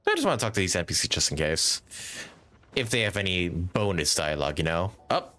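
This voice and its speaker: A man's voice: low-pitched, very animated, a little fast, pushed and bright. A man livestreaming a game with casual reactions, brash and sweary in a mock-indignant way. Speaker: live streamer.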